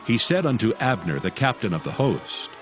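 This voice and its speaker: A man's voice: mid-range voice, sing-song, moderate pace, soft background music. A man narrating a dramatized scripture recording as a solemn, scripted storyteller.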